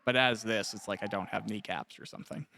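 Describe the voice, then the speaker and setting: A man's voice: on the low side, animated, moderate pace, creaky, fairly bright tone. A man hosting a casual, conversational interview as he chats with his guest. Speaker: interviewer, host of an audiobook cast interview.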